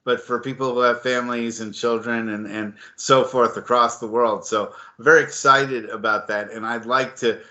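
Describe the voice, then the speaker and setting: A man's voice: medium pitch, steady tone, normal speed, few pauses, bright, forceful tone, highs cut off. A man co-hosting an interview podcast, enthusiastic and prone to stumbling restarts. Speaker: podcast co-host.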